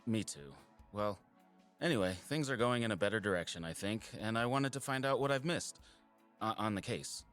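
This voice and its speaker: Earnest nature-loving guy in an audio drama, lowish voice that moves around a lot, casual, faint music behind. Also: animated, normal speed, fairly bright tone. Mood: relieved, tired. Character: earnest, caring male wildlife surveyor and nature lover. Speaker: habitat surveyor.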